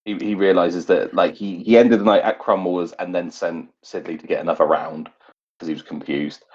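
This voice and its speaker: Husky low-voiced man roleplaying at the table. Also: steady tone, darkish tone. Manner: halting, repeats and reworks phrases. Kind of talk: improvised roleplay and narration of his character. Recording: dull, lo-fi recording.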